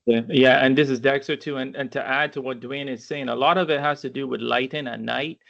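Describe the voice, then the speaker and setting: A man's voice: medium pitch, steady tone, a little fast, vocal fry, fairly bright tone. A man at a public meeting, earnestly adding to a colleague's answer. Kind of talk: meeting remarks. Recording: muffled lo-fi audio.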